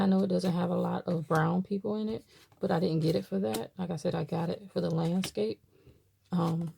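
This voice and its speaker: A woman's voice: on the low side, vocal fry, a little mellow, slight background noise. A woman from Baltimore chatting casually to camera, rambling with many fillers as she shows items. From Baltimore, USA. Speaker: tarot deck reviewer.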